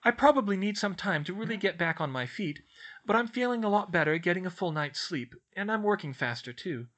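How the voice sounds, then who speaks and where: A man's voice: high voice, sing-song, moderate pace, pushed and bright, pitched above the performer's own voice, exaggerated voice. A man voicing an earnest, anxious young schoolgirl who speaks politely and hesitantly.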